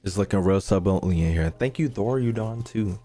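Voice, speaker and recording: A man with a low voice streams a video game in a casual, slangy way. He repeats his phrases and swears freely, with game music faintly behind him. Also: fairly quick, dark tone. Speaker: gaming streamer. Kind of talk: casual gameplay commentary.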